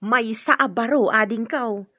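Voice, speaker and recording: A chatty housemaid in a Filipino radio drama. Her voice is very high with a rough, breathy edge, respectful and confiding, with a Filipino accent. The sound is close and dry. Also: measured pace, pushed and bright, dry recording, lo-fi sound. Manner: deferential, confiding. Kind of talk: Ilocano radio drama acting.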